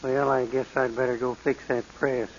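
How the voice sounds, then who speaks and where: A man's voice: medium pitch, level tone, normal speed, slight background noise, close to an everyday voice. An actor playing a flustered, loyal handyman with a hesitant manner in a 1940s radio drama. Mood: sheepish. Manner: hesitant, stammering. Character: loyal, flustered male handyman bluffing for his friends. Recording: muffled old radio broadcast.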